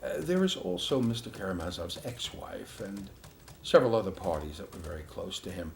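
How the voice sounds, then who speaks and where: An actor playing a formal, guarded male attorney giving a measured, carefully worded statement in a crime drama. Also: low-pitched, sing-song, a little hiss or hum. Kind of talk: acted formal statement to investigator.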